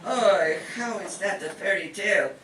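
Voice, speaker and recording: A man's voice: high-pitched, normal speed, bright, forceful tone. A man playing a fussy, grumbling immigrant mother in a comic radio play. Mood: wry, curious. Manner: kvetching, Yiddish-sprinkled talk. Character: fussy old-country immigrant mother, bewildered, grumbling, doting. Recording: roomy, distant stage mic, clear noise.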